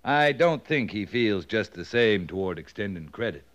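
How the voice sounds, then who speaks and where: On a muffled old radio recording, a man plays a laconic American cavalry captain. His lowish voice is dry and understated, dutiful toward his superior and his troops. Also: lively intonation, normal speed, natural voice. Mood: dryly concerned. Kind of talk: 1950s radio western acting.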